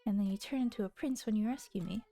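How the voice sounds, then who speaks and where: A woman's voice: mid-range voice, quick pace, warm tone, close to an everyday voice. A woman voicing a playful young girl who teases and pouts at her older brother. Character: young girl, playful pouting little sister.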